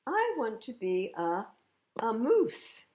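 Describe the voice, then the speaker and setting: A woman voicing a young male storybook bunny who is discontented and stubbornly wishful, with emphatic, drawn-out declarations. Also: fairly high pitch, huge pitch swings, a little mellow, pitched above the performer's own voice, heavily acted.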